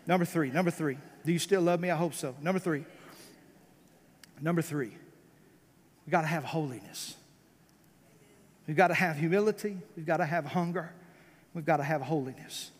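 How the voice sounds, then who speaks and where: A man with a high voice and an American accent preaches like a revival pastor in a large, reverberant hall. His delivery is fervent and emphatic, built on repeated phrases and pointed rhetorical questions. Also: normal speed, pauses often. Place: church service, amplified in a large room.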